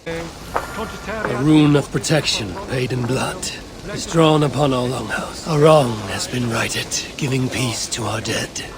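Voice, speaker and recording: An actor playing a solemn Norse villager in a video game, giving a ritual pronouncement with ceremonial delivery. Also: male voice, medium pitch, crowd noise, close to an everyday voice. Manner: slow, ceremonial. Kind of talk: dramatic video game acting.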